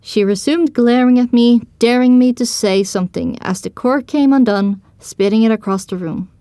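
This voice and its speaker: A woman's voice: a little high, moderate pace, mellow, no echo. A woman narrating a scripted story in the first person as part of an audio drama.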